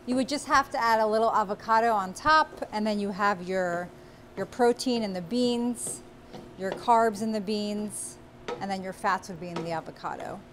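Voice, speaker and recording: A woman's voice: mid-range voice, moderate pace, fairly bright tone, slight background noise. A woman in her forties hosting a cooking show, giving friendly step-by-step instructions as she cooks in a small studio kitchen on a lapel mic. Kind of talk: cooking demonstration.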